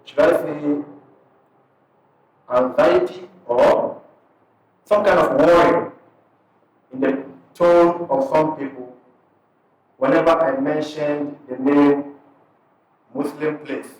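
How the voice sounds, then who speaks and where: A man with a Ghanaian accent speaks to a gathered crowd as their imam, easygoing and conversational, his thoughts arriving in short halting pieces. His voice sits a little high for a man. He is picked up from far off in a hall that rings with a lot of echo. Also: steady tone. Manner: conversational, unhurried, breaks phrases into short pieces. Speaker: imam and parish missionary. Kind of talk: addressing an audience at a community event.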